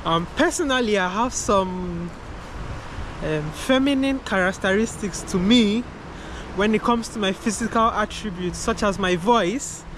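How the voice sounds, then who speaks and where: A man's voice: high voice, a little slow. A young man with a light, feminine-sounding voice, vlogging in a loose, repetitive way and calling the viewer "man" and "bro", recorded outdoors. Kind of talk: casual to-camera talk. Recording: outdoor recording with clear traffic noise. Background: passing cars.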